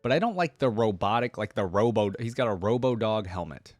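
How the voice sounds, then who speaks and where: Deep-voiced man casually reacting. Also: animated, fairly quick, darkish tone, no echo, soft background music. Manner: informal, opinionated, thinking aloud. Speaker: YouTube reaction host. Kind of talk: casual off-the-cuff reaction commentary.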